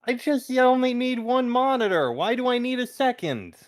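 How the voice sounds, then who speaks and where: A man's voice: extremely high pitch, sing-song, normal speed. A man in casual group chat on a stream, with a jokey, self-deprecating manner.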